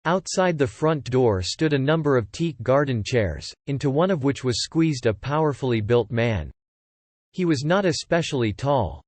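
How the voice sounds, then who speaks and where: Animated male audiobook narrator. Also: medium pitch, no room sound.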